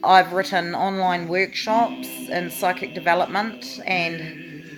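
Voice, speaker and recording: A woman's voice: on the low side, fairly even intonation, slow, a bit bright, soft background music, low-quality recording. A woman, talking casually to the camera in a rambling way with many fillers and restarts. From New Zealand. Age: 40s. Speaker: vlogger.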